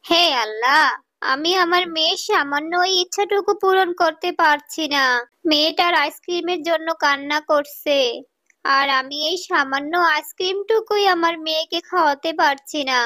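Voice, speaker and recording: Woman as a high-voiced, careworn mother fox. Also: normal speed, pushed and bright. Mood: sorrowful. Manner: tender, sorrowful. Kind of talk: animated children's story dialogue.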